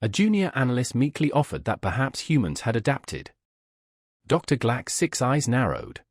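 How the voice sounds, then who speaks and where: Male narrator, mid-range pitch with plenty of movement, wry scripted sci-fi storytelling. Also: sing-song, moderate pace, dry recording, studio quality.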